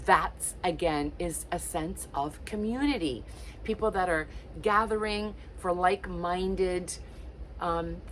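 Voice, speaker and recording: A woman's voice: on the low side, slight background noise, rough recording. A woman in her late fifties, originally from Portugal, chatting casually to camera with lots of ums and likes. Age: late 50s. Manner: chatty, warm-hearted encouragement with many fillers. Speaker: lifestyle vlogger.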